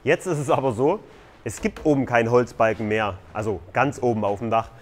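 A man's voice: mid-range voice, brisk. A man on a tiny house building site, explaining construction steps in a loose, conversational way. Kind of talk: casual explanatory vlog.

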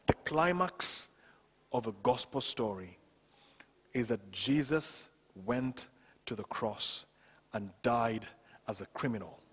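A man in his thirties preaching a sermon as a pastor, emphatic and deliberate, repeating phrases for stress, on a headset microphone in a church. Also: mid-range voice, lively intonation, husky. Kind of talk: sermon to a congregation. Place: church stage, indoors. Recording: reverberant hall sound.

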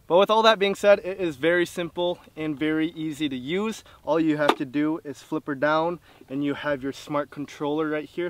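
A young man doing a scripted sponsor read outdoors on a lawn, eagerly pointing out product features to a camera a few feet away. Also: on the high side. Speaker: sponsor presenter.

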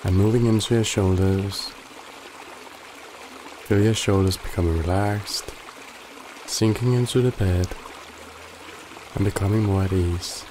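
Slow, low-voiced man guiding meditation over water. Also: noisy background. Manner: slow, calm, gently instructive with long pauses. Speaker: meditation guide. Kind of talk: guided body scan meditation. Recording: loud running water behind the voice. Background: trickling stream water ambience.

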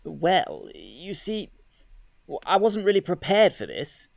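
An actor playing a polite, easily flustered young British gentleman suitor in a period audio drama, formal and hesitant. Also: sits high, wildly swooping pitch, slow pace, gravelly, no room sound, lo-fi sound, full character voice.

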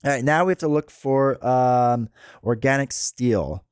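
A man in his thirties with a stuffy head cold, chatting casually as a host while playing a card game, rambling with fillers and restarts, close on a boom mic in a home studio. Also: measured pace, darkish tone, clean tone, dry recording. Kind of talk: casual gameplay commentary. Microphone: boom mic close to the mouth.

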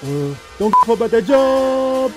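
A man voicing a cocky, trash-talking basketball player in a comedy voiceover, boastful and slangy with a gravelly voice. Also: extremely high pitch, sing-song, moderate pace, rough recording. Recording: music behind the voice.

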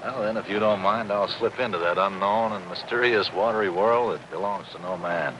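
A gruff middle-aged American diver played by a male actor in a 1950s TV drama. He speaks low and curt with dry sarcasm, over clearly audible waterfront noise. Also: normal speed, highs cut off, natural voice. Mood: sardonic. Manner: curt, sardonic. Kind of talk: 1950s television drama dialogue. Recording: noisy old film soundtrack, waterfront ambience.

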